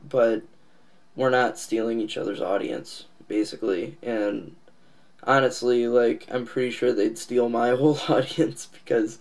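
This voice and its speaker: Slow-paced, nasal, stuffed-up man. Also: mid-range voice, darkish tone. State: congested, recovering from a cold and lost voice. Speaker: comics channel host. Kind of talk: casual, unscripted channel update to viewers.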